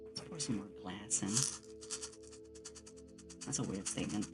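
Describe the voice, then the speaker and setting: A woman's voice: rumbling low, measured pace, music in the background. A woman chatting casually on an ASMR livestream, rambling with playful asides, close on a binaural microphone. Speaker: streamer and game master.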